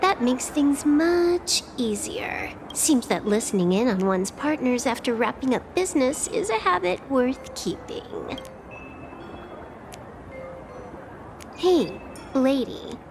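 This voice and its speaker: A woman's voice: high voice, very animated, a little slow, vocal fry, noisy background, heavily acted. A woman voicing a sly, playful young female con artist in a video game, teasing and nonchalant. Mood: amused, scheming. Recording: game music underneath.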